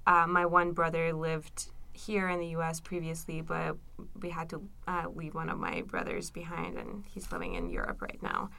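Young iranian-born woman in an interview, low-ish gravelly voice, lots of ums. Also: normal speed, pushed and bright. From Iran. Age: young adult. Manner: thoughtful, many fillers and restarts. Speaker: graduate student, organizer. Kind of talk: interview answers.

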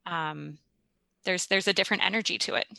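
Bright-voiced woman from Minnesota. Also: lowish voice, brisk, vocal fry, no room sound. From Minnesota, USA. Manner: thoughtful, articulate, with fillers. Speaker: guest, classical singer. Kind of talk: casual conversation.

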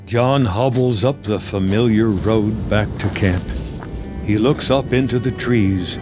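Slow, low-voiced male narrator over music. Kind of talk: scripted screenplay narration, present tense.

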